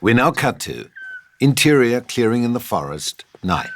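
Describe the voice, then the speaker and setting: A man's voice: medium pitch, sing-song, moderate pace, fairly bright tone, slight background noise. A man reading scripted screenplay narration as the narrator of a table read.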